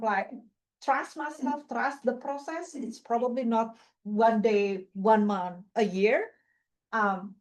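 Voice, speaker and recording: A woman's voice: a little high, low-quality recording. A woman who does not speak English natively, giving an informal talk with slides, chatty and full of fillers and restarts, laughing often. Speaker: guest speaker, faculty member.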